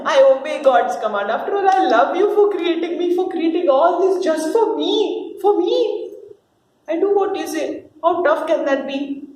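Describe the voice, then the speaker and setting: A woman's voice: extremely high pitch, expressive, unhurried, a little mellow, voice pushed up. A woman voicing a naive, childlike, newly created man, hesitant and eager to please, in a dramatic play reading. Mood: devoted. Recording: roomy, distant mic, faint noise.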